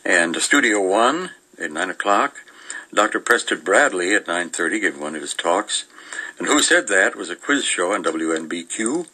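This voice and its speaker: An older man co-hosting a radio show, reading through old listings and reminiscing in a halting, meandering, casual way with chuckles. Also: low voice, animated, bright, forceful tone, husky, rough recording.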